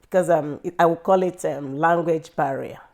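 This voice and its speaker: A middle-aged woman from Nigeria with a Nigerian accent, speaking slowly and thoughtfully in an interview about her life, with frequent pauses and fillers. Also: deep voice, a little mellow. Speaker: interview guest. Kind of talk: reflective personal interview.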